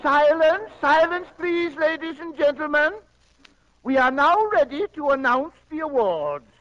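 An actor playing a pompous, gluttonous county fair judge in florid 1940s radio comedy style. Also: male voice, extremely high pitch, animated, unhurried, fairly bright tone, full character voice. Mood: pompous, proclaiming. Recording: dull, noisy 1940s radio broadcast.

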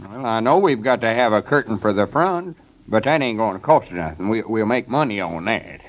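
An actor playing a stubborn, scheming old rural storekeeper with a folksy Southern American drawl in a 1930s radio comedy. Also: male voice, on the low side, animated, moderate pace, natural voice, rough recording. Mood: scheming, confident. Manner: folksy, drawling country talk. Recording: 1930s radio broadcast recording.

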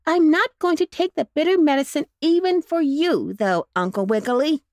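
A woman's voice: high voice, animated, moderate pace, darkish tone, no room sound, clean studio sound, voice pushed up. A woman voicing a sick, stubborn puppy boy in a storybook, polite but refusing.

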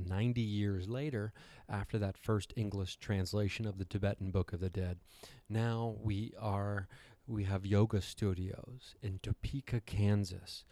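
A man with a slightly raspy voice, giving a casual, rambling talk with wry, self-deprecating asides. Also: fairly low pitch, warm tone, no room sound. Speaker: host giving a talk on meditation. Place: hotel room.

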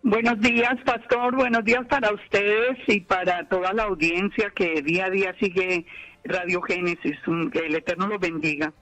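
A woman's voice: mid-range voice, steady tone, moderate pace, fairly bright tone, highs cut off, faint music behind. A middle-aged Colombian woman, a guest Bible teacher, answering an interview in an earnest storytelling way. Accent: Colombian Spanish.

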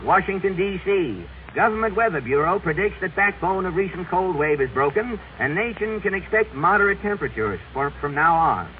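Distant and tinny on old radio, a portentous news announcer. His high voice swings widely as he declaims clipped, mock-serious headlines. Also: expressive, fairly quick, hardly any pauses, noisy background. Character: brisk, portentous male newsreel announcer.

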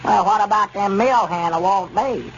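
An old-time radio recording, muffled and noisy, carries an older country storekeeper with a high voice. He has a rural Southern drawl and a homespun, plain-spoken way, easily puzzled and prone to grumble. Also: normal speed. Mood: matter-of-fact. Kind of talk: 1930s radio comedy acting.

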